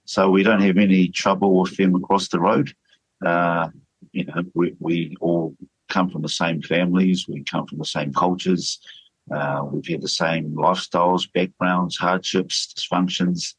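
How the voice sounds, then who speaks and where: A man's voice: deep voice, steady tone, measured pace, husky, no echo, low-quality recording. A man leading a church, speaking earnestly and steadily in a radio interview, with fillers and restarts. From New Zealand. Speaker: church leader.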